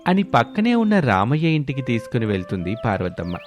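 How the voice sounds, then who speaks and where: A man's voice: mid-range voice, very animated, a little fast. A man giving scripted narration of a moral story as storyteller. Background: background music.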